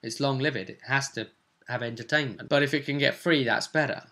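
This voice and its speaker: A man's voice: medium pitch, a little fast, sharp tone. A man chatting casually as a game master, recapping a tabletop role-play session and acting out bits as he goes.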